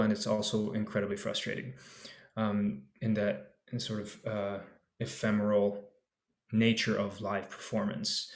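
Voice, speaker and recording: A man in his forties, an actor on a discussion panel, talking casually and searching for his words with many restarts. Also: fairly low pitch. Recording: echoey room.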